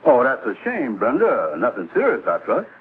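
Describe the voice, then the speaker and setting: An actor playing a gullible, pompous middle-aged loafer who mangles big words in a vintage television sitcom. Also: male voice, mid-range voice, huge pitch swings, normal speed. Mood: genial, pompous. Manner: malapropisms, pompous. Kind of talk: 1950s television sitcom acting. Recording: noisy, tinny vintage TV soundtrack.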